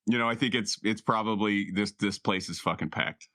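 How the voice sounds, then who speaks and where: A man's voice: on the low side, moderate pace, pushed and bright, no echo, clean studio sound. A man chatting casually and informally as a player in a tabletop role-playing game.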